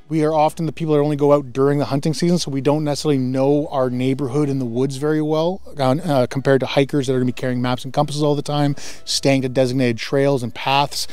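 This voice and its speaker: A man in his thirties from Central Ontario, a survival instructor explaining survival basics to camera, earnest and steady, outdoors in bare woods on a lapel mic. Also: medium pitch, fairly quick, clean tone, no room sound. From Central Ontario, Canada.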